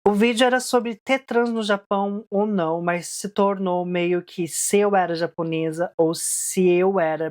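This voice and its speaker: A woman's voice: medium pitch, a little mellow. A woman in her twenties who grew up in Japan, casually and reflectively telling her life story in a vlog, with frequent fillers. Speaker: vlogger.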